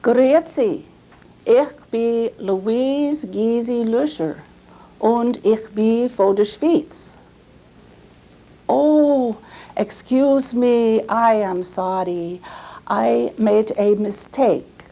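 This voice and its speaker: A woman playing an earnest Swiss immigrant grandmother in a reminiscing stage monologue, with a Swiss German accent and careful, halting, simple English. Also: on the high side, warm tone, full character voice. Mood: earnest, apologetic. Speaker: storyteller performing a reenactment. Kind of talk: dramatized first-person monologue.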